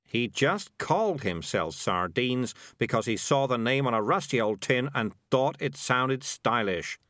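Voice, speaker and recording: A man's voice: mid-range voice, animated, measured pace, a bit bright, no room sound, clean studio sound. A man voicing a sly male talking cat in a storybook, wheedling and flustered as he bluffs. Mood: urgent.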